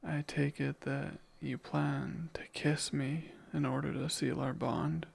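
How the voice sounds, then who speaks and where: A man voicing a devoted demon servant in an intimate ASMR romance, murmuring in a husky, breathy, formal way. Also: a little high, flat delivery, slow, full character voice. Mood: yearning, tender. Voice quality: husky, breathy with heavy creak. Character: devoted, yearning male demon servant bound to his master.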